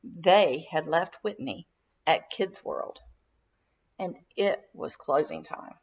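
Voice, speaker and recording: A woman narrating a children's storybook in an expressive, dramatic read-aloud style for young listeners. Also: fairly low pitch, takes it slowly, creaky, slightly rough, lo-fi sound. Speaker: children's minister reading a storybook.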